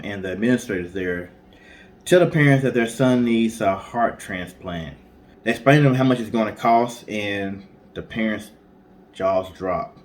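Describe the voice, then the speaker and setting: Male co-host, lowish pitch, informal storyteller, conversational delivery, light background noise. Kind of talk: casual storytelling.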